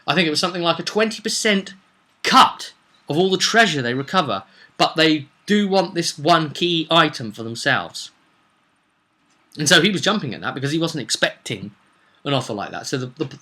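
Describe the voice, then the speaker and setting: A man informally recapping a tabletop roleplay session as game master, rambling and animated as he tells the story. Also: fairly high pitch. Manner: rambling, animated, acts out quotes.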